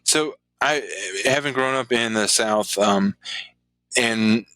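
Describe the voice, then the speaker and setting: A man's voice: medium pitch, slow pace, sharp tone, no echo, poor audio. A middle-aged man from Chicago and southwestern Virginia, telling his story casually as an interview guest.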